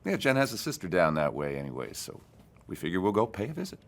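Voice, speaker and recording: A folksy husband in a naturalistic audio drama. His voice is deep and very animated, and he talks quickly. He is casual and placating, always smoothing things over. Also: heavily acted. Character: folksy, falsely reassuring husband with hidden intent. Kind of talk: naturalistic audio fiction acting.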